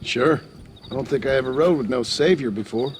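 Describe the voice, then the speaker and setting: A male actor as a stoic American cowboy in a western game. He has a medium-pitched voice and talks in clipped, deadpan lines over faint background noise. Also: brisk, close to an everyday voice. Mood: dry. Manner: terse, deadpan. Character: terse, stoic American cowboy bounty hunter. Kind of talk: video game cutscene acting. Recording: faint outdoor ambience and noise.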